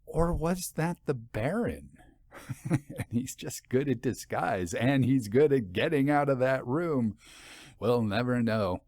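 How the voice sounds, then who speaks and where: A man with a medium-pitched voice speaks as a podcast host. He muses conversationally and puts rhetorical questions to his listeners, recorded close and dry with no room sound. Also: expressive, takes it slowly, darkish tone, no room sound. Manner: musing, asks rhetorical questions. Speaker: podcast host and anthology editor.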